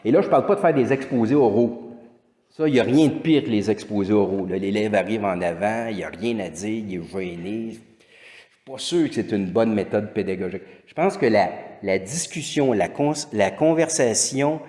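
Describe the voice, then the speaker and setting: A man's voice: fairly low pitch, moderate pace, mellow. Québécois man lecturing in an echoey hall. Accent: Québécois French. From Quebec. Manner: rambling, with frequent restarts and fillers. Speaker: teacher giving a lecture. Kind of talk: conference lecture. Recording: echoey hall with long reverb.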